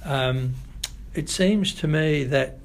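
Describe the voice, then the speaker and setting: Measured Australian man, unhurried. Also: fairly high pitch, slight background noise. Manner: measured, thoughtful, pauses often. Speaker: guest, family doctor. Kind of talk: podcast interview.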